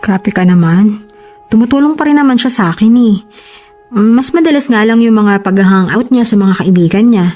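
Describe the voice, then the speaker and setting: Woman acting long-suffering Filipina bride-to-be, high voice over music. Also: normal speed, darkish tone, lightly acted. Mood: defensive, devoted. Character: long-suffering, devoted bride-to-be, hurt and defensive. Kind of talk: Filipino relationship audio drama acting.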